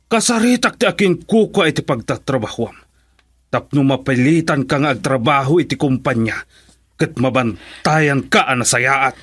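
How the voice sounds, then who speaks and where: Husky, domineering angry father. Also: medium pitch, lively intonation, moderate pace, a bit bright, no room sound. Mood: stern, controlling. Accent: Filipino, Ilocano. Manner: scolding, indignant. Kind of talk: Ilocano radio drama acting.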